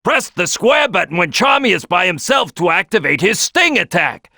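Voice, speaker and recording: A man's voice: sits high, big pitch swings, normal speed, vocal fry, pushed and bright, no room sound, clean studio sound, heavily acted. A man voicing a big brash cartoon crocodile team leader in a video game, giving tutorial tips with emphatic, punchy delivery. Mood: brash, forceful. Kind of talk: animated game tutorial voice lines.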